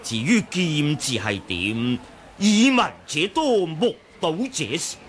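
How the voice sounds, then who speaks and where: 狡诈掌门，说粤语. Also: male voice, on the high side, sing-song, slow, a bit bright, a little hiss or hum, heavily acted. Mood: taunting. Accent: Cantonese. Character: scheming male sect leader, proud and cunning. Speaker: radio drama actor. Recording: old 1980 radio drama recording.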